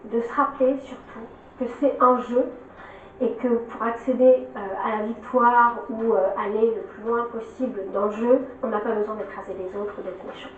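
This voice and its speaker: A woman's voice: on the high side, fairly even intonation, moderate pace, a little hiss or hum. Earnest woman advising, tinny and echoey. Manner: earnest, with hesitations. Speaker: reality TV contestant giving advice. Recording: echoey, distant, phone-like.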